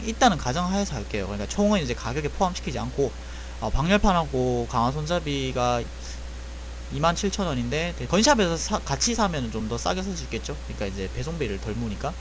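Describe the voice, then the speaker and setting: A man's voice: on the high side, sing-song, fairly quick, slight background noise, rough recording. A young man casually explaining a repair job, thinking aloud and correcting himself as he goes. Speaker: airsoft gun technician. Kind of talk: casual spoken tutorial.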